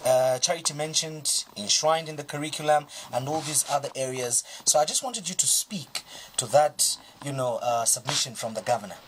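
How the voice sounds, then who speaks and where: A man's voice: mid-range voice, moderate pace, hardly any pauses, a little hiss or hum. A man with a Zambian accent hosting a TV panel, conversational, passing questions to his guests. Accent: Zambian English. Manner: conversational, hands turns to guests. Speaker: TV show host. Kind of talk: moderating a panel.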